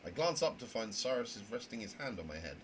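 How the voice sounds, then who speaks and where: A man's voice: on the low side, big pitch swings, fast, fairly bright tone. A man voicing an anxious, rule-bound young trainee in a visual novel, earnest and flustered, stammering with indignation. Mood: wary, musing. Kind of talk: amateur voice acting of a visual novel. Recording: noisy home recording.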